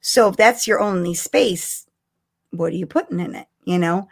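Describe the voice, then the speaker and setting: A middle-aged woman co-hosting a live podcast, chatting casually with an animated, giggly and excitable manner. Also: on the low side, moderate pace, gravelly. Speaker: podcast co-host. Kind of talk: casual fan chat on a live stream.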